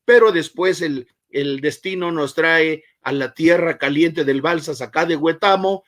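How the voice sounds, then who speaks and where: A man from Mexico's Guerrero coast, speaking as a chronicler on a virtual panel, pausing often on filler sounds as he talks. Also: on the high side, a bit bright. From Mexico, Costa Chica of Guerrero. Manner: hesitant, many filler "eh" pauses. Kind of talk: formal virtual panel talk. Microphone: video call.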